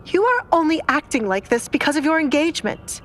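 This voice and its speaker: A woman's voice: high-pitched, sing-song, brisk, fairly bright tone, voice pushed up. A woman voicing an angry, haughty middle-aged stepmother in an audio drama, indignant and scornful, with light background noise.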